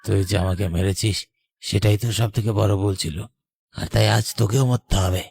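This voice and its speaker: Man acting a low-voiced vengeful male ghost. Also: fairly even intonation, a little fast, vocal fry, darkish tone, slightly rough. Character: vengeful ghost of a murdered young lover. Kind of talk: animated horror story acting.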